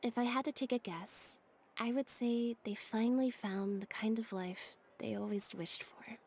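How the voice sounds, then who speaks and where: An actress playing a composed, loyal young woman in a video game, speaking calmly and deliberately. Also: on the high side, slight background noise, natural voice. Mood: reflective. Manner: calm, deliberate. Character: composed, loyal young woman devoted to her found family. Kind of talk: scripted video game dialogue.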